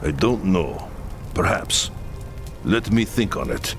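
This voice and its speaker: Brief: a solemn fantasy camp chief, low voice with wide swings, game score underneath. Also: male voice, big pitch swings, takes it slowly, creaky, heavily acted. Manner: earnest and solemn, pleading at times. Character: grave, proud Kislevite camp leader, middle-aged man. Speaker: leader of a refugee camp. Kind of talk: game cutscene voice acting. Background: orchestral game music.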